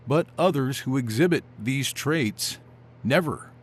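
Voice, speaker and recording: A composed academic expert, played by a man, speaks in a mid-pitched male voice. He lectures clinically, as in an interview for an audio drama podcast, with a faint hum of noise behind him. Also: animated, unhurried, natural voice.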